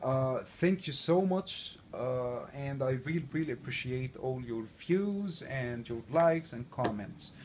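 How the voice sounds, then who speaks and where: A man's voice: mid-range voice, expressive, slow, few pauses, darkish tone, a little hiss or hum. A young man with a foreign accent casually reviewing a game console, rambling with lots of fillers and restarts. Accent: non-native English accent. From born and raised outside the US. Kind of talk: casual unscripted walkthrough.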